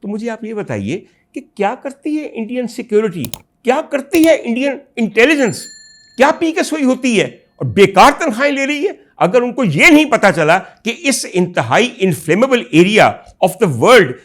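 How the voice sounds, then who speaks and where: A man with a Pakistani Urdu accent hosting a political commentary show, delivering a rhetorical monologue to his audience that builds to loud indignant outbursts. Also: very high-pitched, very animated, moderate pace. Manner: addresses listeners as ladies and gentlemen.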